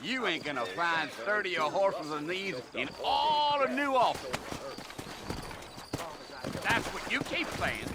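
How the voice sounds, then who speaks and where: A man's voice: sits high, very animated, slow, pauses often, exaggerated voice. A man playing a folksy, talkative old-west trail guide in a video game. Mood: chatty, folksy. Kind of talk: video game western dialogue acting.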